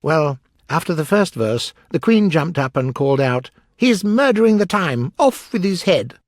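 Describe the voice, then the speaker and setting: A man's voice: a little high, animated, moderate pace, no room sound, clean studio sound, pitched above the performer's own voice. A man voicing an eccentric, mournful male hatter who talks down to people with heavy emphasis in a storybook.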